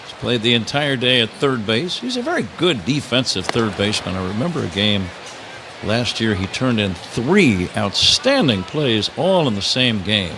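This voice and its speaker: A man's voice: mid-range voice, sing-song, moderate pace. An American man calling a baseball game live as a radio play-by-play announcer. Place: broadcast booth at a ballpark. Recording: noisy live broadcast with outdoor ballpark ambience. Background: clear outdoor stadium noise.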